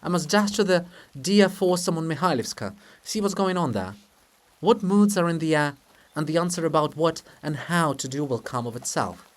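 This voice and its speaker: A man voicing the statue of a male statesman in a theatrical farce, teasing, brisk and grandly consoling. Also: fairly high pitch, animated, natural voice. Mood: jaunty. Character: brisk, needling, jaunty male monument of a statesman.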